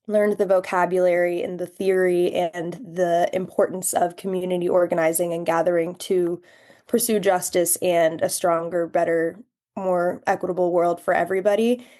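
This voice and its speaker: A woman's voice: lowish voice, flat delivery, moderate pace, mellow. A young adult woman, a podcast guest, giving earnest, reflective answers in long flowing sentences. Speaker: podcast guest, human rights education fellow.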